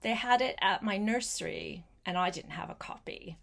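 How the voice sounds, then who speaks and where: An older woman reading a picture book aloud to a small child, gentle and coaxing, with chatty asides spoken straight to the child. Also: medium pitch, creaky. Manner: gentle, unhurried, coaxing, addresses the child directly.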